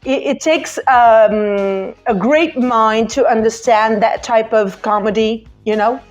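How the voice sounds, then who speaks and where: A woman's voice: a little high, slow, dull audio, faint music behind. A woman in her forties from Portugal, speaking English with a Portuguese accent as a podcast host taking calls, chatty and hesitant, laughing often. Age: 40s. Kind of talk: casual live call-in chat.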